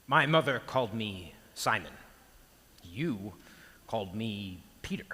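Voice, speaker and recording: A man acts out a bereft, bewildered male disciple in a stage monologue. His voice is bright and husky, stammering through broken phrases with grief and guilt. It rings in a long, echoing reverb. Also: fairly low pitch, heavily acted. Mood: remorseful, lost. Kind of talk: theatrical Good Friday dramatic monologue.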